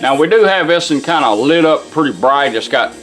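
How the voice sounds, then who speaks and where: A man's voice: on the high side, animated, a little fast, a bit bright, faint music behind. A man giving an informal hands-on product demonstration in a folksy, matter-of-fact way as a restorer.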